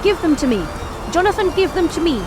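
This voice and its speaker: An intense young wife under a spell played by an actress in period radio drama. Her high voice swoops with desperation. Music plays clearly behind her. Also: lively intonation, fast talker. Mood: desperate, demanding. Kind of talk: 1930s-style radio drama acting.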